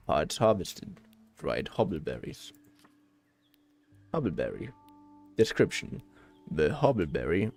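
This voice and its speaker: A man voicing a slow robot that reads out a plant encyclopedia in a deliberate monotone with clipped phrases. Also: low-pitched, voice pushed down, heavily acted.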